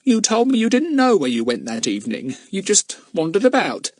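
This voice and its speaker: A man's voice: high voice, wildly swooping pitch, normal speed, darkish tone, no room sound, studio quality, voice pushed up, full character voice. A man voicing a kind, motherly housekeeper, soothing and deferential, in a children's audiobook. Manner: coaxing, reassuring. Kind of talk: acted children's audiobook dialogue.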